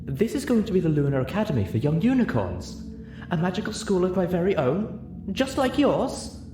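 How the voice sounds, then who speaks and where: Young pony princess played by a man: girlish, earnest, eager, pitched up; storybook reading in an echoey room. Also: big pitch swings, normal speed, over music. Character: cute, excitable young pony princess, eager little sister. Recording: echoey room with clear background noise.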